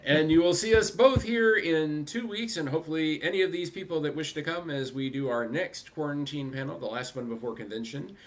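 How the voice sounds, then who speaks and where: A man's voice: a little high, brisk, few pauses, slight background noise, poor audio. A man hosting a casual panel discussion in a conversational way. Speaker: host.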